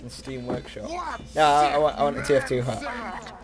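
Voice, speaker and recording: A young man giving casual, excitable, rambling and self-deprecating commentary while playing a video game. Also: mid-range voice, moderate pace, a crowd in the background. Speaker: gaming video host. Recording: noisy game audio behind the voice.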